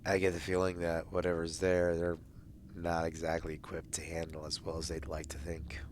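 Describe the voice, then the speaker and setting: Low, monotone man at a tabletop game. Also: moderate pace. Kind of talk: casual in-character game chat. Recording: faint background noise on live stream.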